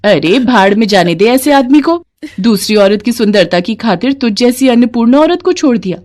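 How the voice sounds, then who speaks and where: A woman's voice: on the high side, animated, normal speed, darkish tone, pitched above the performer's own voice, close to an everyday voice. Woman playing a blunt, protective eatery owner. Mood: indignant, protective.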